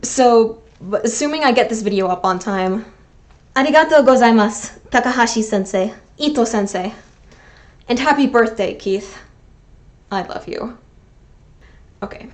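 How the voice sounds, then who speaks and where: A woman's voice: a little high, normal speed, long pauses. A woman in her twenties narrating a personal video essay as channel host, earnest and sentimental with wry, self-deprecating asides. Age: 20s.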